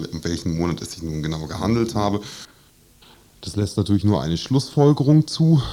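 Male studio guest, very deep voice, careful explanatory court reporter with deliberate, wordy phrasing. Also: mellow. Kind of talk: live radio interview, explanatory report.

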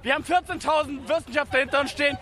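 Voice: high voice, very fast. A young demonstrator speaking spontaneously in a street interview. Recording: outdoor street with crowd chatter.

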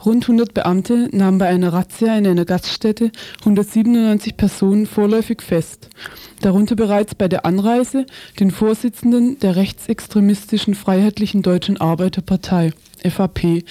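Woman doing a radio press review, mellow voice, super dry studio, reads paper aloud. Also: mid-range voice, steady tone, normal speed, no room sound. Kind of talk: scripted dialogue and newspaper reading.

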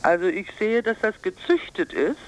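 A woman giving a political radio interview as an advocate, emphatic and insistent, sometimes halting. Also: medium pitch, animated, rough recording. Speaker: pensioners' advocacy group board member, interviewee.

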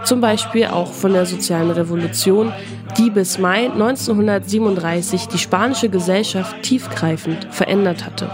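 A woman's voice: medium pitch, normal speed, over music. A woman reads a scripted radio documentary as its narrator.

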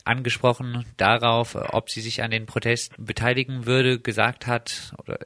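A man asking measured questions as an interviewer. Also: mid-range voice, flat delivery, sharp tone, dry recording, studio quality.

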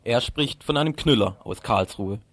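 A man's voice: medium pitch, animated, moderate pace, dry recording. A man reading scripted radio commentary and putting questions as a presenter and interviewer.

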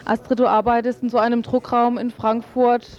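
Flat-toned woman interviewer, dull sound. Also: on the high side, brisk. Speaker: radio interviewer. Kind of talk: interview questions. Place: radio interview.

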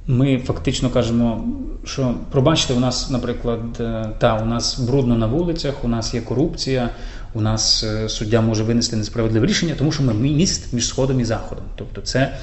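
A man's voice: mid-range voice, darkish tone. Thoughtful man in a roomy space. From Ukraine. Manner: thoughtful, halting, with pauses and filler sounds. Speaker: poet, interview guest. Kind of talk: interview answers. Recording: roomy echo.

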